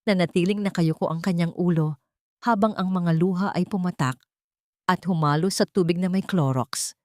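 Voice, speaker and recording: Low-pitched woman narrating drama, very dry. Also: normal speed, darkish tone, dry recording. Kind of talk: dramatic scripted story narration.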